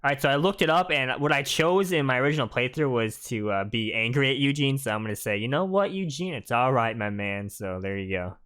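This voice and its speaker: A man's voice: medium pitch, brisk, hardly any pauses, fairly bright tone, clean tone. A man giving casual, chatty, explanatory commentary over a video game walkthrough.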